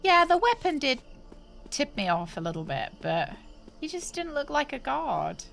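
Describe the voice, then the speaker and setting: A woman's voice: sits high, very animated, moderate pace, creaky, a bit bright, faint music behind. A woman streamer with a congested, snotty voice, chatting and apologizing cheerfully while she plays a game. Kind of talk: casual gameplay commentary.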